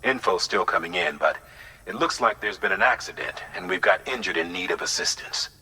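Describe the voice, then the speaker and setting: An actor voicing a gruff, businesslike commander in a video game, husky, with an urgent briefing manner. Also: male voice, deep voice, animated, brisk, sharp tone, heavily acted, poor audio. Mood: brisk, urgent. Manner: urgent, plain-spoken briefing. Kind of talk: video game cutscene acting.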